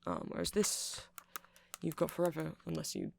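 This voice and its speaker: A man playing a nerdy, chatty vampire in an ASMR roleplay, rambling softly, earnestly and hesitantly about his interests. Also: on the high side, flat delivery, normal speed, gravelly.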